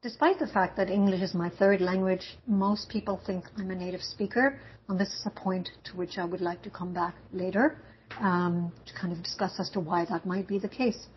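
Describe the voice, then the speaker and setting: A woman from Germany whose first language is German gives a measured talk as a university English instructor, pausing often with um and uh. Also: mid-range voice, fairly even intonation, a little hiss or hum. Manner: measured, with frequent um and uh pauses. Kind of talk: prepared talk. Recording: dull lo-fi audio.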